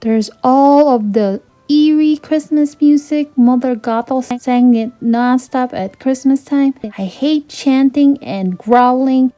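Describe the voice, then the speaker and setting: Naive young princess played by a female reader: higher-pitched, excitable, anxious, earnest, for storybook reading. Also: takes it slowly, hardly any pauses. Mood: fretful, uneasy. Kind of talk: children's storybook character reading.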